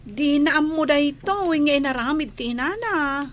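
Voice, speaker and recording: An actress with a Filipino accent playing a gentle, concerned older-sister housemaid in a radio drama. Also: female voice, high voice, animated, normal speed. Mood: sympathetic.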